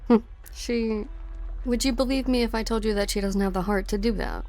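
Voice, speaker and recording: A woman's voice: medium pitch, a little fast, a little mellow, soft background music, close to an everyday voice. A woman voicing a cold, taunting assassin in a dramatic game dub, with a cool, menacing delivery. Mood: sardonic. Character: cold, taunting female enemy assassin hunting her prey.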